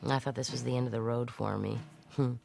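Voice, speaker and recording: A woman's voice: rumbling low, fairly even intonation, brisk, vocal fry, heavy background noise, heavily acted. An actress playing a defiant woman fugitive who recounts her escape in a wry, conversational, matter-of-fact way in an audio drama. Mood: wry, weary.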